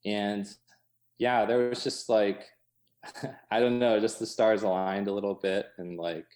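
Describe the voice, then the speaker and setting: A man talking casually as an interview guest, in an informal, halting way full of hedging asides. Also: fairly low pitch, steady tone, a little mellow. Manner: informal, halting, many hedging asides, chuckles through speech. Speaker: interview guest, coffee shop co-owner. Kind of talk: casual chat. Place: inside his cafe.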